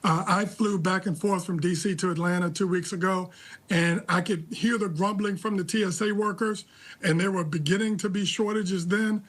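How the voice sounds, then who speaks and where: An American man with a notably high voice, speaking as a news analyst. His delivery is measured and deliberate, with a critical edge. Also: fairly even intonation, fairly bright tone. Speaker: political analyst, interview guest. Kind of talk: live news commentary.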